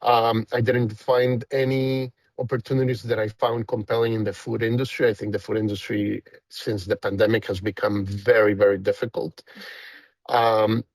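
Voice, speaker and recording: A man's voice: fairly low pitch, flat delivery, moderate pace, darkish tone, no room sound. A man in his fifties from Costa Rica with a thick Costa Rican Spanish accent, a guest chatting casually and rambling with many fillers. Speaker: guest, company founder. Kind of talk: casual interview chat.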